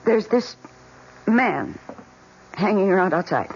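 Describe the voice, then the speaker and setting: An actress playing a lonely, pleading housewife with a halting, emotional way of talking, in a vintage radio drama. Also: female voice, mid-range voice, expressive, a little mellow. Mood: anxious. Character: lonely, pleading, timid housewife with simmering resentment. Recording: dull, noisy old radio recording.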